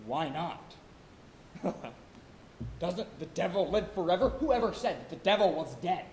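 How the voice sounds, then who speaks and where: A man on stage playing a boastful, excitable sailor with comic, blustering bravado. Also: sits high, unhurried. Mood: boastful, teasing. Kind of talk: theatrical stage acting. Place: college theatre stage. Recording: roomy auditorium stage recording, some hiss.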